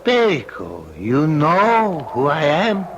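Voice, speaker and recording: A man's voice: fairly high pitch, huge pitch swings, slow pace, dull audio, full character voice. An actor playing a stern, courtly middle-aged Spanish father in an old-time radio drama, formal and commanding with a Spanish accent. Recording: lightly noisy vintage radio recording.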